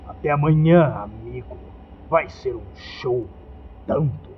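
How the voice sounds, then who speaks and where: A Brazilian man voicing a menacing, mocking male cult leader in a horror story, with a slow growl. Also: mid-range voice, lo-fi sound, a little hiss or hum, lightly acted. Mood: mocking threat.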